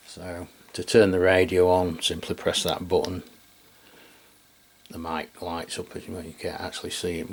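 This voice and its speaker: A man's voice: low voice, gravelly, breathy edge. A man with an English accent giving a casual, rambling hands-on product demonstration in colloquial speech, often ending on tag questions. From England. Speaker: radio reviewer and video host.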